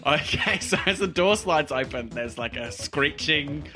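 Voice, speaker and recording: A man's voice: fairly high pitch, lively intonation, a little slow. Casual male game master over music. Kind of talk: improvised tabletop narration, casual.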